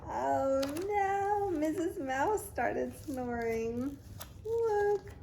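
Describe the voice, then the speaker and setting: A woman reading a picture book aloud as a mother to a toddler, in an expressive, dramatic storytime style. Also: sits high, extremely slow, vocal fry, a little hiss or hum. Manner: dramatic, child-directed.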